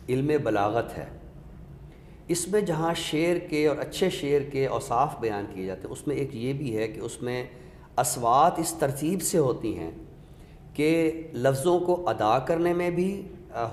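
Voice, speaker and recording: A man with a Pakistani accent giving a deliberate, didactic explanation in a literary discussion, pausing for emphasis. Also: medium pitch, a little mellow. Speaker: literary scholar and guest speaker. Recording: echoey reverberant room with background noise.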